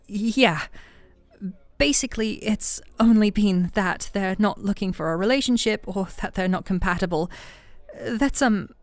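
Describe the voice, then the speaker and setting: A woman's voice: mid-range voice, no room sound, lightly acted. A woman playing a shy, flustered best friend with a hidden crush in an intimate audio roleplay, talking in a hesitant, teasing, conversational way. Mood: wistful, awkward.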